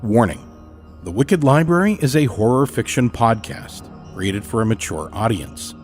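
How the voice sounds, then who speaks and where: A man delivering a scripted podcast introduction as host, in a measured, deliberate manner. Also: medium pitch, expressive, soft background music.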